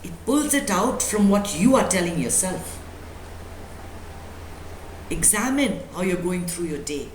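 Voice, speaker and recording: Woman giving a spiritual talk, echoey room. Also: medium pitch. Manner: emphatic, preachy, with rhetorical questions and repetition. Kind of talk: motivational spiritual talk to a live group. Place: indoor room at a live talk. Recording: echoey room with faint background noise.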